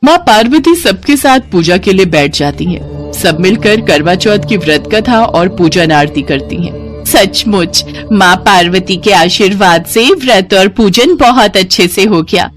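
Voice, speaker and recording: Female narrator for devotional children's stories: reverent, expressive, lively melodic delivery, music bed. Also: mid-range voice, a little slow. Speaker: storyteller.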